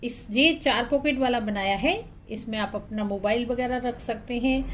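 A woman's voice: a little high, slight background noise. A woman hosting a craft tutorial, narrating step by step in a plain, instructional manner.

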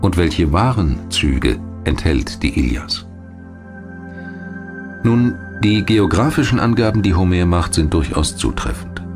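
A man narrating a scripted history documentary in a measured, articulate storytelling manner. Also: sits low, lively intonation. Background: music.

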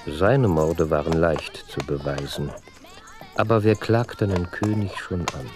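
An actor playing a cool, analytical gentleman detective in a radio drama, dry, self-assured and clipped. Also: low voice, unhurried, music in the background. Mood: terse, focused.

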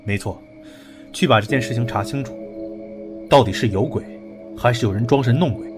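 An actor playing a calm, analytical young man in an audio drama, speaking in a measured, matter-of-fact way. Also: medium pitch, music in the background, natural voice. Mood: resolute.

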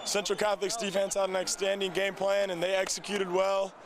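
Young man, high voice, composed, stock answers, stadium crowd behind. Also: a little fast. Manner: steady, composed stock phrases. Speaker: high school football player. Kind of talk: postgame interview answers. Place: outdoor stadium field at night. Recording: crowd noise in open stadium.

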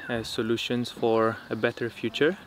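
A young man talks calmly about his work, his voice sitting at a middle pitch. He is recorded outdoors in a dry rural field, where the countryside birds and insects come through clearly behind him. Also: moderate pace, noisy background. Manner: relaxed, thoughtful, with frequent fillers. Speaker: permaculture teacher. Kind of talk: casual interview talk.

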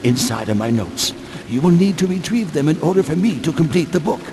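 An actor playing a grave, urgent old exiled scholar in a video game, speaking formally and theatrically. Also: male voice, fairly high pitch, animated, a little fast, close to an everyday voice. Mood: ominous, instructive. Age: older. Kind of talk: dramatic video game acting.

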